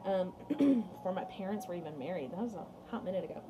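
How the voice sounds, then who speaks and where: A woman's voice: fairly low pitch, lively intonation, quick pace, noisy background. A young woman teaching music in a read-aloud lesson for children, explaining and chatting directly to her pupils. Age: young adult. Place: small indoor room at home.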